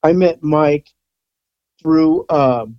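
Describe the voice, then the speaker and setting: A man's voice: a little high, drawn-out words, smooth, dry recording, highs cut off. A man in his sixties, a rock photographer from the Bay Area, chatting casually as an interview guest and rambling with fillers and restarts. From San Francisco Bay Area. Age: 60s.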